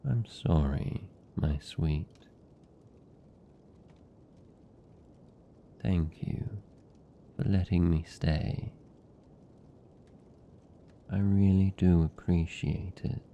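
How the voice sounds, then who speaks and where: A man voicing a lonely, tender and possessive vampire count in a British accent, speaking softly and soothingly in an intimate ASMR roleplay. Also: low voice, steady tone, highs cut off, slight background noise. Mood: gentle, teasing. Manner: soft, slow, soothing, lingering pauses.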